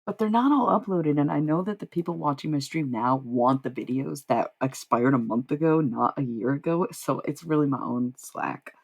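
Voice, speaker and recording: Young woman, low and gravelly, rambling dreamy stream chat with casual swearing. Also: normal speed, few pauses, a little mellow. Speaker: livestreamer. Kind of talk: casual livestream chat with viewers.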